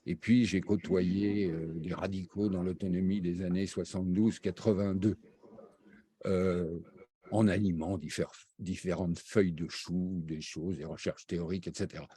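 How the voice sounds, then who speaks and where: An older man, raised between Brazil and France, recalling his path as an interview guest in a halting way, with many fillers and restarts. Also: deep voice, steady tone, mellow, husky. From raised half in Brazil, half in France. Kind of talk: hesitant spoken recollection.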